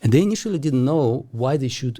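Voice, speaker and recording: A man from Russia speaking English with a Russian accent as an interview guest, halting and often restarting as he searches for words. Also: mid-range voice, animated, mellow. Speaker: startup founder, guest. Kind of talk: casual interview talk.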